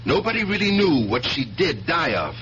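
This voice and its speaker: A driven, distrustful sergeant in a 1970s radio drama. His voice swings widely in pitch as he argues insistently and with sarcasm. The recording is muffled, with a light hum. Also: very animated, normal speed, fairly bright tone. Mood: pressing, accusing. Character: driven, distrustful police sergeant confined as a mental patient.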